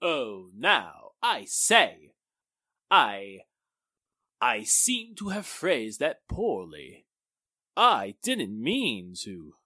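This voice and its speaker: An actor playing an indignant, blustering, protective gentleman in a pompous 1940s-style radio drama manner. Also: mid-range voice, wildly swooping pitch, very slow, husky, no echo, clean studio sound, heavily acted. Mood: flustered.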